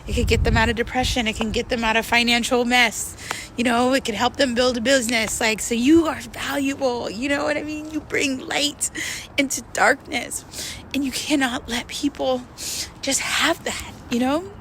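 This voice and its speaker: A woman talking in a casual, confessional monologue, candid and halting, repeating words for emphasis. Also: on the high side, fairly even intonation, fairly bright tone, a little hiss or hum, rough recording. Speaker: vlogger.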